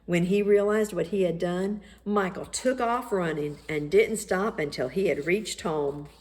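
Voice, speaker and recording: Slow, low-pitched woman narrating a story. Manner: expressive, deliberate. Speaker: storybook reader. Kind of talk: scripted children's story narration.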